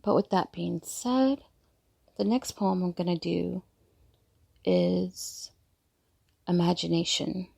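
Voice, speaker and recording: A woman poet hosts her podcast in a low, heavily creaky voice. Her tone is dark and soft, and she takes her time. The sound is intimate and dry, with almost no room around it. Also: slow, pauses often, no room sound. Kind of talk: intimate spoken-word poetry reading and casual podcast chat.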